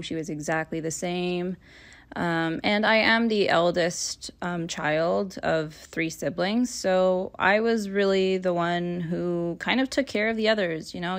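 A woman in her twenties from Canada, hosting her own podcast and talking about her life in a friendly, earnest way, with fillers and the odd soft laugh. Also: on the low side. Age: 20s. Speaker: podcast host. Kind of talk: casual solo podcast talk.

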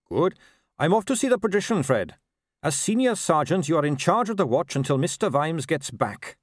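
Man acting an earnest, higher-pitched young police captain. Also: very animated, no echo, studio quality. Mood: bright, businesslike. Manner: brisk, courteous, formal. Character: earnest, upright young male police captain, dutiful and polite.

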